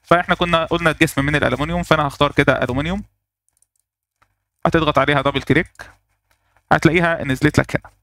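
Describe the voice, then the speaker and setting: A man with an Egyptian Arabic accent walking through a software tutorial step by step, pausing on fillers as he works. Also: fairly high pitch, fairly even intonation, no room sound. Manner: explains step by step, thinking aloud with fillers. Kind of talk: screen-recorded software tutorial.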